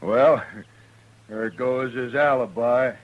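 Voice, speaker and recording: A man's voice: mid-range voice, steady tone, slow pace, dry recording, narrow, tinny audio, lightly acted. An actor playing a hostile, accusing cowman in a western radio drama. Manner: pointed, accusing. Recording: noisy old-time radio recording.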